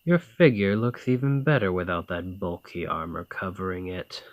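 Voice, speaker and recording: A man's voice: low voice, moderate pace, dry recording, dull audio, lightly acted. A man playing a dominant, teasing fantasy thane in an ASMR roleplay, commanding and flirtatious. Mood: challenging, playful. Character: dominant Nordic fantasy thane, teasing, confident warrior lord. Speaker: roleplay audio performer. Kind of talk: ASMR fantasy roleplay acting, addressing the listener.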